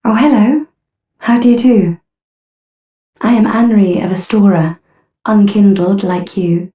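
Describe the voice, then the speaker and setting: A woman's voice: mid-range voice, a little slow, natural voice. A woman playing a courteous, earnest young undead knight in a fantasy game, with polite, formal speech. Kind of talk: scripted fantasy game voice acting. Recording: muffled, roomy, distant-sounding game audio.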